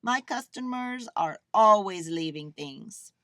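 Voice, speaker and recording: A woman's voice: medium pitch, animated, takes it slowly, a bit bright, dry recording, clean studio sound, voice pushed up. A woman voicing a businesslike, obliging laundromat manager in a storybook, projecting as if to customers.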